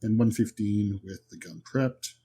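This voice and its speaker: A man's voice: on the low side, fairly even intonation, unhurried, warm tone, a little hiss or hum. A man as game master, improvising narration and explaining rules in a conversational way for a horror tabletop game.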